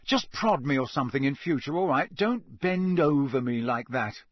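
A man's voice: medium pitch, expressive, moderate pace, dry recording, close to an everyday voice. A man voicing a teenage schoolboy wizard for an audiobook, casual, kindly and a little hesitant. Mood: flustered, reassuring. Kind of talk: audiobook character voice.

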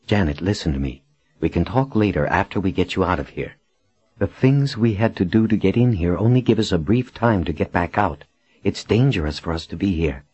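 A man's voice: low voice, brisk, a little mellow, slightly rough, no echo, dull audio. A man voicing a resolute, reassuring woman leader in an audiobook, speaking firmly and earnestly.

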